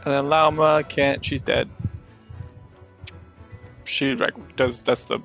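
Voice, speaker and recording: Male streamer, casual gameplay talk, fry in the voice, halting delivery, soft game music behind. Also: mid-range voice, fairly even intonation. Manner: halting, self-correcting explanations. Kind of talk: casual gameplay commentary and chat. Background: video game music.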